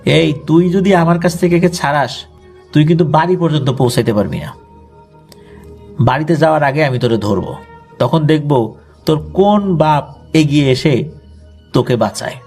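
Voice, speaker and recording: A man's voice: fairly high pitch, normal speed, gravelly, pauses often, a little mellow, soft background music. A man with a Bangladeshi accent voicing an arrogant, menacing jinn possessing a villager in a horror story, threatening and commanding. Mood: menacing threat.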